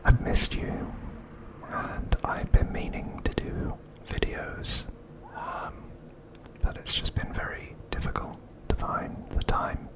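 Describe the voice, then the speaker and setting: A man hosting an ASMR video, speaking in a hushed, deliberate whisper. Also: rough recording. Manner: hushed, slow, deliberate delivery.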